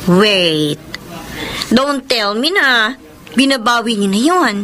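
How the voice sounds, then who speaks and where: High, animated young niece. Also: female voice, slow, heavily acted. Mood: excited, curious. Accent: Filipino. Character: excitable, supportive young niece Astrid. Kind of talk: Filipino radio drama acting. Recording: noisy radio drama scene ambience.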